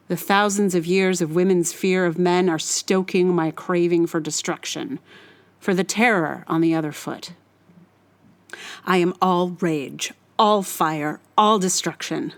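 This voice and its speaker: Slow, deliberate woman delivering a menacing monologue. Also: fairly low pitch. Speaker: writer reading own monologue. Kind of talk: scripted dramatic monologue.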